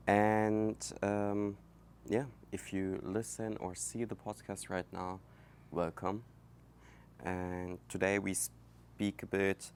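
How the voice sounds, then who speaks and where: A man from Germany with a German accent, hosting his own podcast in a casual, halting monologue recorded outdoors, thinking aloud with many fillers. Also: low voice, clear, resonant tone. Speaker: podcast host. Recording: faint outdoor background noise. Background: outdoor ambience.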